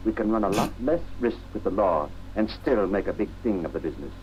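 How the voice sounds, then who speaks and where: An actor in an old-time radio drama playing a suave, scheming middle-aged swindler with a smooth, formal, commanding manner. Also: male voice, fairly low pitch, expressive, fairly quick, dull audio, natural voice. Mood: calm, condescending. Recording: noisy old radio recording.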